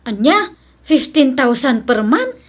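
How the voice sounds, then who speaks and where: An actress with a Filipino accent playing a hardworking wife and trusting cousin in an Ilocano radio drama. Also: female voice, high voice, sing-song, slow pace, heavily acted. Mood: dismayed, protesting.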